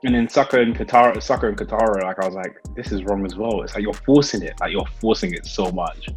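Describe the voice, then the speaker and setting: A man's voice: fairly low pitch, quick pace, soft background music. A man co-hosting a podcast, complaining in a repetitive, exasperated way during casual debate. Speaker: podcast co-host. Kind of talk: casual group debate about films.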